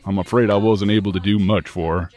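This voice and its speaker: An actor plays a kindly doctor and loyal friend in an audio soap. His voice is deep, and he speaks gently and with regret, as if breaking hard news. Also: steady tone, quick pace, soft background music, close to an everyday voice. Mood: regretful. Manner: gentle, apologetic. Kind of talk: soap-opera audio drama acting.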